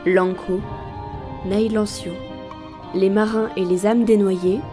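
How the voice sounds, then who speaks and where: A woman reading scripted audio drama credits as an announcer, in deliberate, spaced phrases. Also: mid-range voice, steady tone. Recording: prominent music behind the voice. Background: new-age style music.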